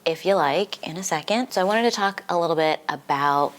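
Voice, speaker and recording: Low-voiced young woman vlogger, slightly congested. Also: animated, fairly quick. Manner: informal, giggly, peppered with "like". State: recovering from flu, slightly congested. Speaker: book vlogger. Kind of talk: casual chatty life-update vlog.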